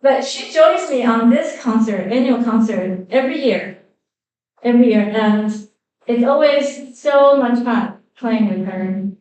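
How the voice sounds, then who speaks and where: A woman with a Chinese accent introducing fellow musicians onstage at a concert, halting and self-correcting with many fillers. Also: on the high side, expressive, a little mellow. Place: concert hall. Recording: echoey hall, voice from a distance.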